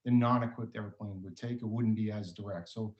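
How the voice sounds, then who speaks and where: A man's voice: on the low side, steady tone, a little fast, a little mellow. Man testifying in echoey, dull audio. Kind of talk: explanatory testimony at a hearing.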